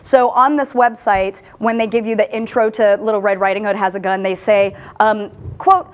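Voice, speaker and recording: A woman hosting a casual online news discussion, talking in an animated way and reading quotes aloud with a lift in her voice. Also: medium pitch, normal speed. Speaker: host.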